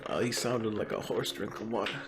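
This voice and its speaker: A man's voice: fairly low pitch, steady tone, brisk, crowd noise. A man co-hosting a comedy show, bantering casually in a slangy, teasing way. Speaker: co-host of a comedy reading show. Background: guitar music.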